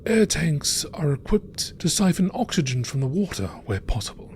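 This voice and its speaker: A man reading scripted science-fiction encyclopedia entries in a measured, formal nature documentary style. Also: fairly high pitch, big pitch swings, a little mellow, slight background noise. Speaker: narrator reading game lore entries.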